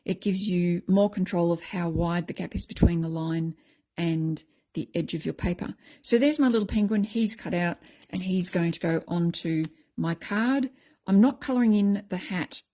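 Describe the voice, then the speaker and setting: A middle-aged woman with a croaky voice, chatting casually as she explains a craft technique step by step. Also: fairly low pitch, normal speed, mellow. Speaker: craft tutorial host.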